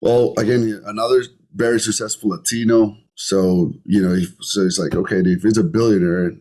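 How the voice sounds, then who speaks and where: Deep-voiced Colombian man, earnest guest. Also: steady tone, moderate pace, darkish tone, rough recording. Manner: earnest, repeats words while searching for phrasing. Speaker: podcast guest, entrepreneur. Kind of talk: conversational interview.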